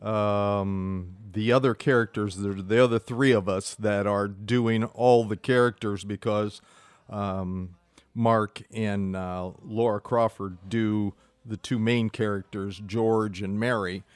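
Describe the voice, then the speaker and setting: A man, a stage actor, giving halting, measured explanations in a casual interview. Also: on the low side, steady tone, clean tone, no echo.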